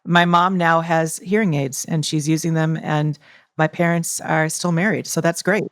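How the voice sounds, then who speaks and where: A woman's voice: low-pitched, fairly even intonation, moderate pace, no room sound, studio quality. A woman co-hosting a podcast, asking questions and sharing family stories in a conversational way. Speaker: podcast co-host. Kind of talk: casual podcast interview.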